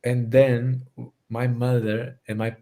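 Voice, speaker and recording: A man's voice: medium pitch, flat delivery, slow, warm tone, a little hiss or hum. A Brazilian-born man raised in Portugal, a native Portuguese speaker with a Portuguese accent, talking earnestly as a podcast guest and restarting phrases as he searches for English words. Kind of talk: casual conversation.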